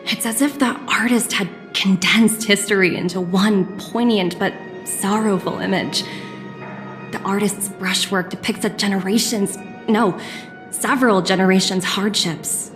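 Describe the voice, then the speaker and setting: Woman voicing young female executive, video game character, polite, businesslike, articulate, mid-pitched, background music. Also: measured pace, a bit bright, natural voice. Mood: reflective, cultured.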